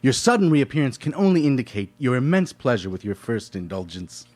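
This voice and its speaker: A man's voice: medium pitch, sing-song, fairly quick, darkish tone. A man playing a pompous, effusive painter in a live radio play, with flowery, gushing speech. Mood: effusive, welcoming.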